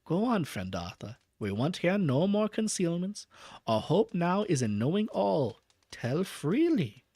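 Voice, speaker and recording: A man reading aloud and voicing a calm elderly professor with a put-on foreign accent, low and slow. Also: husky, dry recording, heavily acted. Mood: grave. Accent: Dutch-style put-on accent. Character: calm elderly male professor, grave and steady.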